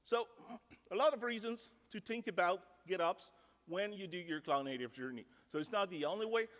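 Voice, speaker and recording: A man's voice: high voice, normal speed, a bit bright. A French-speaking man from Canada giving a casual technical conference talk, sprinkling in "like" and joking at his own expense. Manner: casual, self-deprecating, filler "like". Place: large conference ballroom. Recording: reverberant conference ballroom.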